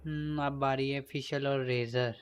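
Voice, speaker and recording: Brief: male gaming streamer, mid-pitched, rapid casual asides. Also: level tone. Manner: quick, offhand remarks to viewers. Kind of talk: casual live gameplay commentary. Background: game music.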